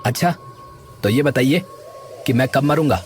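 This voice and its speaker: A man playing a brash, impatient young villager in an animated story, sarcastic and argumentative. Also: mid-range voice, normal speed, noisy background, natural voice. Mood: mocking, irritated. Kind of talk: Hindi animated story dialogue. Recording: loud background music.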